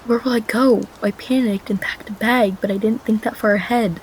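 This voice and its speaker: A woman's voice: fairly high pitch, fairly even intonation, normal speed, a little hiss or hum, natural voice, rough recording. A woman playing a frightened but determined young woman on the run in a first-person radio drama. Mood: distressed.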